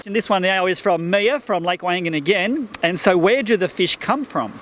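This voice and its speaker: A man's voice: high-pitched, moderate pace, a bit bright, slight background noise. A man interviewing a farmer outdoors, cheerfully reading questions from a sheet into a handheld mic. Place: outdoors beside farm ponds.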